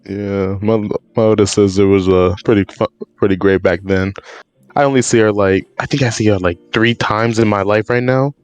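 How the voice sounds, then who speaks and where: A man's voice: fairly low pitch, steady tone, normal speed, darkish tone. A man in casual podcast chat, talking in a loose, slangy way with stumbling restarts.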